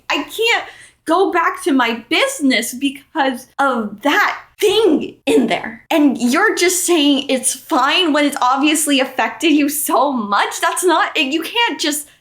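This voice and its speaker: Girl doing an indignant rpg adventurer, high voice, emphatic n confrontational, echoey room. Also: lively intonation, slow pace, fairly bright tone, full character voice. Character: indignant, wounded adventurer seeking revenge. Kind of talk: improvised tabletop roleplay.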